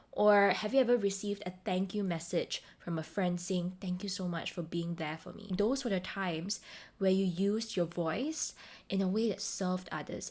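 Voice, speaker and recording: A woman hosting a self-help podcast, speaking to the listener directly in a deliberate, encouraging way. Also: fairly low pitch, a little mellow. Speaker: podcast host and voice coach.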